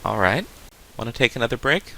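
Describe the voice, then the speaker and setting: Gravelly, calm game doctor. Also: male voice, mid-range voice, full character voice, low-quality recording. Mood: reassuring. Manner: measured, questioning. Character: calm, probing male doctor counselling a patient. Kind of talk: video game dialogue. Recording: faint steady hiss.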